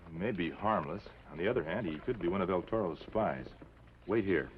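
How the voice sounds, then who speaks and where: An actor playing a calm, upright masked lawman in a 1950s television western, with decisive, formal diction. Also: deep voice, fairly quick. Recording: dull, hissy, reverberant old television soundtrack.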